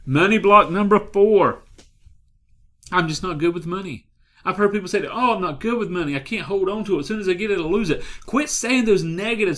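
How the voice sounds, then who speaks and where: A man's voice: high voice, fairly bright tone. A man giving a motivational talk as a coach, emphatic, repeating key phrases and asking the listener direct questions. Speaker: life and business coach.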